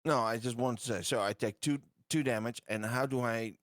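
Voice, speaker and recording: A man's voice: mid-range voice, a little fast, fairly bright tone, dry recording, clean studio sound. A man playing in a tabletop roleplaying game, asking about the rules in a hesitant way. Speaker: player. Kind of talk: casual play chat.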